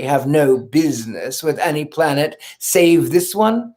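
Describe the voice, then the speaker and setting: A reader voicing a suave, sardonic gentleman who speaks in measured, ironic tones in a storybook reading. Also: medium pitch, natural voice. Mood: solemn. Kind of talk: audiobook reading.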